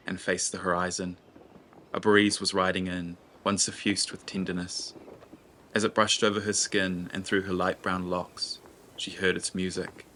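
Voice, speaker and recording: A man narrating a scripted fantasy audio drama in a deliberate, literary storytelling manner. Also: deep voice, pushed and bright, slight background noise.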